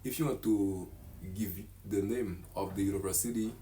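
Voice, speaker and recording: A man's voice: lowish voice, mellow, a little hiss or hum, poor audio. A young man, carefully teaching a beginner English lesson to camera in a small room. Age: young adult. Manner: slow, careful, deliberate delivery. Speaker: English teacher, channel host.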